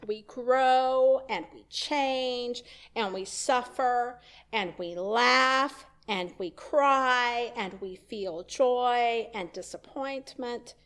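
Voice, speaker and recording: A woman minister giving a reflective sermon in a church, speaking in a slow, measured way. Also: mid-range voice. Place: church sanctuary.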